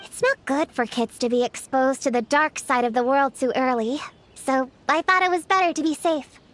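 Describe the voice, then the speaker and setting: A woman's voice: high voice, moderate pace, fairly bright tone, a little hiss or hum. A woman voicing a bookish, articulate young girl in an anime-style fantasy video game. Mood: earnest.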